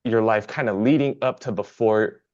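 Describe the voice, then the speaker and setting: A man with a very low voice interviews a guest in an earnest, affirming way, often repeating words as he goes. The recording is dry and dull, with a lo-fi sound. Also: normal speed, a little mellow, dry recording. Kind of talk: supportive interview conversation.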